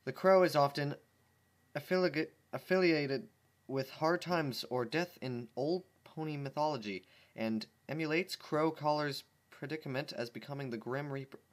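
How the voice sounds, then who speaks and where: A man's voice: fairly high pitch, normal speed, natural voice. A man reading the lines of an earnest, bookish female pony in an explaining tone, close to his own voice. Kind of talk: reading a fan story aloud.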